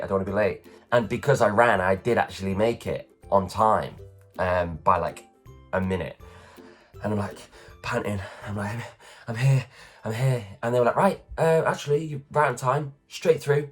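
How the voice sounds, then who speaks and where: A man in his thirties from England, telling self-deprecating stories in a casual morning vlog, his voice low and worn after a late night. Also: normal speed, soft background music. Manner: chatty, self-deprecating, acts out others' lines. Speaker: vlogger, performer. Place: at home.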